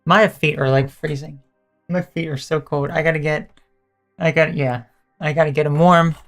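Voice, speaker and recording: A hoarse woman streamer chatting casually and teasingly with her viewers as she wraps up a live stream, often correcting herself mid-sentence. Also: sits low, fairly even intonation, moderate pace, faint music behind. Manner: rambling, self-correcting, teasing chat toward viewers.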